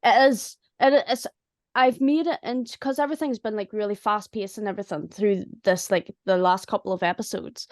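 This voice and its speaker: A woman chatting casually and humorously about making audio dramas, in a chatty, animated way. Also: medium pitch, moderate pace, no echo, studio quality. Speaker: audio drama writer and voice actor. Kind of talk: casual chat.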